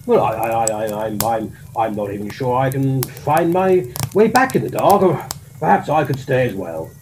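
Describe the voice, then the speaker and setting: A man's voice: mid-range voice, animated, moderate pace, a little mellow, a little hiss or hum, lightly acted. A man playing a bumbling, jolly old-school army colonel with an English accent and a stammering, old-fashioned upper-class manner in a comic audio drama. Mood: dismayed.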